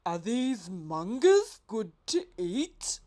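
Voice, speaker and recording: A woman voicing a hungry, exuberant male pelican in a children's storybook, with loud, drawn-out theatrical delivery. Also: mid-range voice, sing-song. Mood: puzzled.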